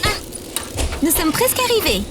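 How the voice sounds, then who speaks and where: A woman's voice: extremely high pitch, very animated, moderate pace, noisy background. A woman playing a cheerful female robot companion in an audio drama, precise and enthusiastic, with a naively fierce streak. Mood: excited, playful. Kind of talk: audio fiction acting.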